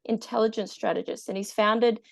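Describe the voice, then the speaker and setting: A woman's voice: fairly high pitch, steady tone, brisk, creaky. A woman in her forties hosting a podcast over a video call, enthusiastic and affirming. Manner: enthusiastic, affirming, laughs often. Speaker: podcast host. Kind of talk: podcast interview. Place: bright room at home. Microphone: earphone mic on video call.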